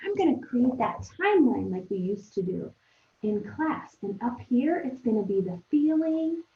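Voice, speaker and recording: High-voiced woman teacher in an echoey room. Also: normal speed, vocal fry. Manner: conversational, expressive teacher talk addressed to young pupils. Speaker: elementary teacher. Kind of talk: read-aloud lesson for children, thinking aloud. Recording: roomy, echoing, dull lo-fi sound.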